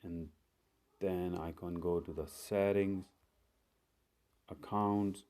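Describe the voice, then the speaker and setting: Slow, low-voiced man walking through phone steps. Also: steady tone, warm tone. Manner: step-by-step, unhurried, plain instructions with fillers. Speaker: tech tutorial host. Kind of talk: screen-recorded phone tutorial.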